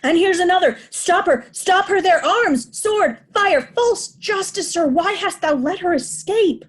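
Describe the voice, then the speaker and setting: A woman's voice: very high voice, lively intonation, a little slow. A woman playing a mad, commanding old king in a Shakespeare reading. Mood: frantic outrage. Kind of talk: remote reader's theatre Shakespeare.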